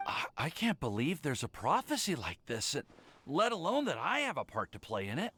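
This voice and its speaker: Flustered, high-voiced lovestruck young man. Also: wildly swooping pitch, moderate pace, pushed and bright, full character voice. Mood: embarrassed. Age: young adult. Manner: stammering, hesitant, earnest. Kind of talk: game cutscene acting.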